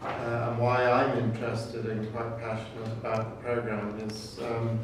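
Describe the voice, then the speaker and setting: An older man from Kenya whose first language is Swahili, speaking in a flat, even tone. He talks in short, measured phrases with pauses, as if welcoming a group. The recording is far from the mic in a very echoey room. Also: mid-range voice, a little hiss or hum. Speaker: program director welcoming students. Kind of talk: informal welcome talk.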